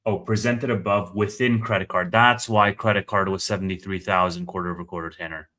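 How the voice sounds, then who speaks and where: A man's voice: deep voice, steady tone, brisk, bright, forceful tone. A man hosting a casual live commentary, conversational and thinking aloud as he reads out figures. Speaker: live stream host.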